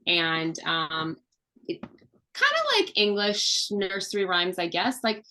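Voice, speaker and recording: Animated mother explaining fun facts to children. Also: fairly low pitch, slow pace. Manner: animated, teacherly, asks children questions. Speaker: children's book author and mother. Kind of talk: casual read-aloud talk with children.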